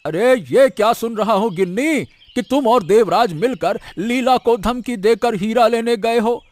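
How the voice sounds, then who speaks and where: High-pitched scolding old husband played by a man. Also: measured pace, darkish tone, no room sound. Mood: appalled. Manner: lamenting, reproachful.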